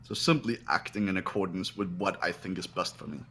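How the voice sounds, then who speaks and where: A man's voice: low-pitched, a little fast, fairly bright tone, soft background music. A man doing a cold, haughty young aristocrat. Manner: haughty, dismissive. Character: cold arrogant young man, aloof and condescending.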